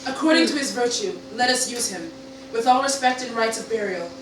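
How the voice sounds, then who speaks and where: A woman's voice: a little high, fairly quick, lightly acted. Echoey, formal victorious commander played by a woman. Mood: formal, magnanimous. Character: magnanimous victorious young commander, measured and formal. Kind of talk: Shakespearean radio play speech. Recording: echoey room, distant mic, noisy.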